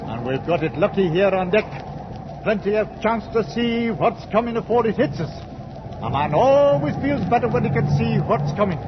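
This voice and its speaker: A stoic sea captain from a vintage radio play, pitched unusually high. He talks in a plain, laconic, unshakeable way. The scratchy recording is dulled and buried in loud storm noise. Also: very animated, moderate pace, highs cut off. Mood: calm, understated. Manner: laconic, understated, folksy. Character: stoic, unhurried male sea captain, calm amid danger. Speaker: ship's captain. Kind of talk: old-time radio drama acting.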